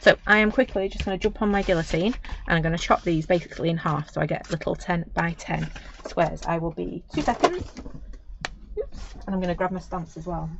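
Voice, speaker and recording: A chatty woman with a Manchester accent, talking viewers through her crafting at her desk at home. Her voice sits low and has a gravelly edge. She is self-deprecating and relaxed, on a slightly dull recording with clear noise underneath. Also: brisk. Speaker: crafting video host. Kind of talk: casual crafting chat to live viewers.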